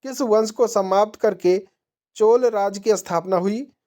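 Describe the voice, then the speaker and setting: A woman teacher with a soft-edged, mellow tone reads out quiz questions and answers them herself. She is methodical, her pitch sits in the middle, and her sentences tend to trail downward at the end. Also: a little slow. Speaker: exam-prep teacher.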